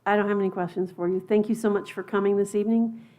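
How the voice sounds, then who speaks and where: A woman's voice: mid-range voice, steady tone, quick pace, a little mellow. A woman chairing a public board meeting in a meeting room, polite and procedural as she thanks guests and moves the agenda along.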